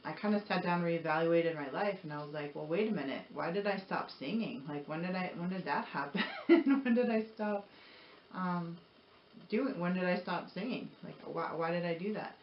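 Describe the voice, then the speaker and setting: Woman vlogging in a roomy, echoey space. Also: lowish voice. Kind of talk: casual personal storytelling, motivational. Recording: roomy echo, dull sound, faint noise.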